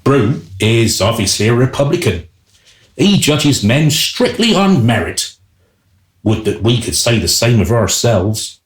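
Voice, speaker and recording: A man's voice: medium pitch, big pitch swings, unhurried. A man playing a wry royal groom who narrates a comic radio play in a theatrical style, with an echoing sound. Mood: wry, scornful. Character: wry male royal groom telling the tale.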